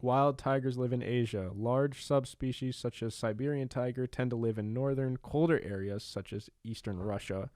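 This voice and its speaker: A man's voice: mid-range voice, normal speed, few pauses, darkish tone, no echo, studio quality. A young man co-hosting a podcast in casual, joking chat, laughing often and saying "yo" and "dude". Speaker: podcast co-host.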